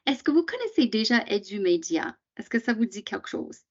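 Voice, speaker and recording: A woman's voice: a little high, big pitch swings, fairly quick, muffled recording. A woman whose first language is English, presenting a screen-shared webinar for teachers in a chatty way with many fillers and questions to attendees.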